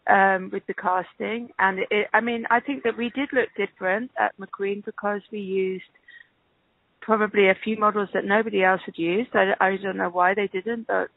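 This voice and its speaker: Female interview guest, gravelly fry, hesitant and conversational, heard down a narrow telephone line. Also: medium pitch, steady tone, moderate pace, no echo. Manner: hesitant, thinking aloud. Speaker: interview guest, casting director. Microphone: telephone call. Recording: tinny, band-limited phone line.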